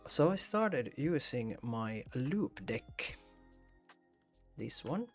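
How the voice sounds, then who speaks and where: A man's voice: medium pitch, big pitch swings, slow, faint music behind. A man from Finland with a Finnish accent, hosting a casual photography livestream and thinking aloud with hesitations and repeated words. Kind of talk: casual chat while editing photos.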